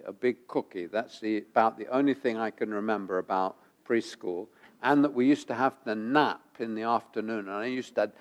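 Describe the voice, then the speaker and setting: A man preaching a sermon while he has a head cold, his voice gravelly, pausing often with ums and uhs and repeating phrases for emphasis. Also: lowish voice. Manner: halting, many ums and uhs. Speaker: pastor.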